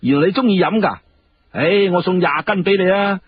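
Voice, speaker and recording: A man's voice: high voice, brisk, breathy edge, dry recording, dull audio, pitched above the performer's own voice. A man voicing a brash, boastful younger nobleman in a Cantonese story, exclamatory and slangy.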